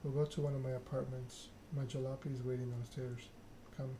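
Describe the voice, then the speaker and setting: A man's voice: medium pitch, level tone, fairly quick, background noise. A man doing an ASMR read-aloud of a narrative game, occasionally stumbling over the written text. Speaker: gaming channel host.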